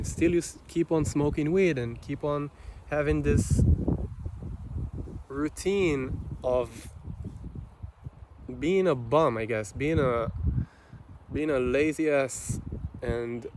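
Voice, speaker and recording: Slow, halting Israeli man, noisy recording. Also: on the high side. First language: Hebrew. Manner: halting, reflective, with long pauses. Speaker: vlogger. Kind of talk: unscripted personal monologue.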